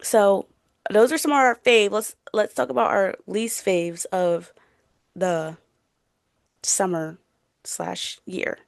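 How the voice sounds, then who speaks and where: Young woman chatting casually, creaky voice. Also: medium pitch, steady tone, slow, pauses often, no room sound, clean studio sound. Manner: informal, emphatic, opinionated. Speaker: book podcast co-host. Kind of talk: casual book chat.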